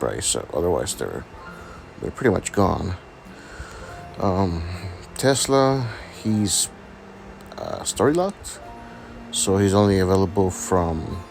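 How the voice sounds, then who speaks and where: A man with a raspy voice from being sick, hesitantly walking through his game account in a casual screen-recording chat. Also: low-pitched, a little mellow, a little hiss or hum. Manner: hesitant, many ums and restarts. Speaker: gaming channel host.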